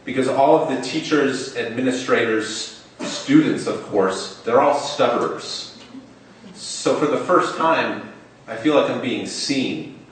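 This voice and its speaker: A man's voice: mid-range voice, slow, slight background noise. A young man telling a personal story on stage. He stutters, openly repeating and stretching the first sounds of words. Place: stage in a large hall. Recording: echoey hall, mic far off.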